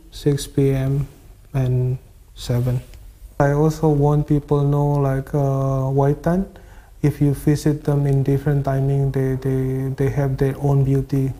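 Male interviewee, photographer, speaks in a flat, dark tone, halting non-native English with faint music behind. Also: medium pitch. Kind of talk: interview answers.

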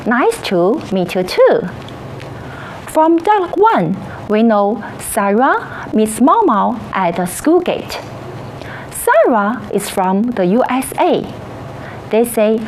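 A woman teaching a children's English lesson, encouraging and praising in slow, sing-song teacher talk. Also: fairly high pitch, very animated, slight background noise, poor audio.

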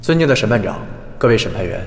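An actor playing a composed male defendant who gives a measured, solemn confession in a courtroom. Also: fairly low pitch, animated, creaky, faint music behind. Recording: echoey courtroom with long reverb.

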